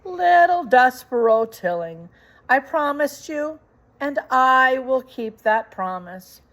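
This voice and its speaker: A woman's voice: sits high, expressive, slow pace, fairly bright tone, pitched above the performer's own voice, full character voice. Woman as a sly, courtly rat villain. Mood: smooth assurance.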